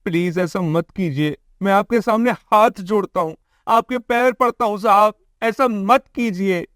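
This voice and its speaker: A man's voice: sits high, unhurried, a bit bright, dry recording, studio quality, pitched above the performer's own voice. Man playing a plaintive poor family man. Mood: anxious, pleading. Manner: earnest, plaintive. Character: poor, distressed, pleading young family man. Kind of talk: Hindi cartoon moral story.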